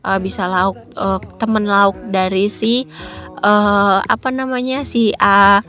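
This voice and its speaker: A woman's voice: mid-range voice, steady tone, takes it slowly, sharp tone, faint music behind. A woman with a stuffy nose from a cold, chatting casually in a cooking vlog. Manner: chatty, many fillers. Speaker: vlogger, housewife.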